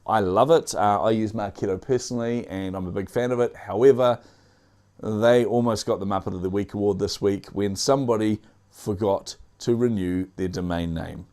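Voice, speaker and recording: A man with a New Zealand accent runs through the week's tech news in a relaxed, chatty way. His voice sits a little low, and he fills gaps with ums and uhs and throws in small dad jokes. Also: moderate pace. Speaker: tech news show host. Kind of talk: casual tech news commentary.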